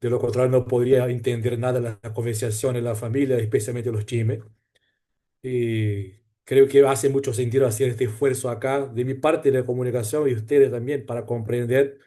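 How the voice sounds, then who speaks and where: Mellow man speaking careful Spanish. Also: mid-range voice, level tone, moderate pace. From Brazil. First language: Portuguese. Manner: careful, polite non-native Spanish. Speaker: panelist. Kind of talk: conference presentation.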